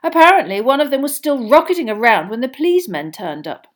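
A woman voicing a flustered middle-aged male official, talking urgently and fast. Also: high-pitched, sing-song, voice pushed up.

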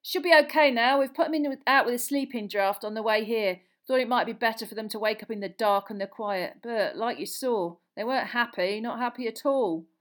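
A woman voicing a brisk, frank young male dragon keeper, emphatic and colloquial, in an audiobook. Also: fairly high pitch, voice pushed up, close to an everyday voice. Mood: hearty. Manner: emphatic, matter-of-fact, colloquial. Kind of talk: audiobook character voice.

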